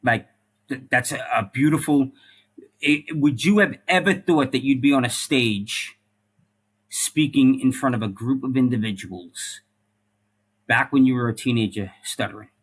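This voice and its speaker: A man's voice: medium pitch, a little slow, husky. A middle-aged man, a former police officer and firefighter, talking as a podcast guest in an earnest way, often repeating words and adding "like" and "right?". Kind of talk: casual interview conversation.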